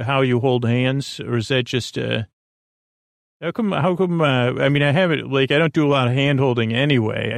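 A man hosting a sleep podcast, talking in a slow, meandering bedtime monologue full of fillers and restarts. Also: mid-range voice, no echo, studio quality. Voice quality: creaky, halting, many fillers and restarts.

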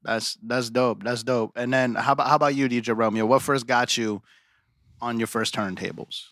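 An upbeat man co-hosts a casual podcast. His voice is bright and forward. He introduces the guests and throws in hype calls, and the recording is close and dry. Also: medium pitch, fairly even intonation, moderate pace, dry recording. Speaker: podcast co-host.

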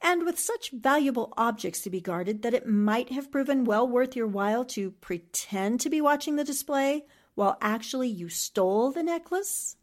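An actress playing a shrewd, confident newspaperwoman sleuth in a 1940s-style radio mystery, deliberate and probing. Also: fairly high pitch, animated, close to an everyday voice. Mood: challenging, calming.

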